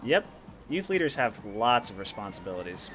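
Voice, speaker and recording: A man playing a smooth-talking, subtly pushy young youth leader in naturalistic audio drama dialogue. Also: on the low side, very animated, moderate pace, noisy background. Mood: casual.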